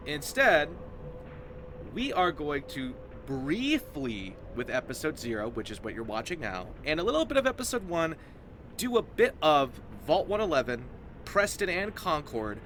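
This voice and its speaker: A man's voice: a little high, very animated, unhurried, fairly bright tone, slight background noise. A man giving casual, explanatory game commentary in an informal, emphatic manner, as host of a roleplay series.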